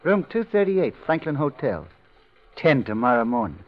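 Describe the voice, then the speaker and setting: An actor playing a secretive shady go-between in a 1940s radio drama, sly and low-key. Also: male voice, big pitch swings, moderate pace, natural voice. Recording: dull old radio recording.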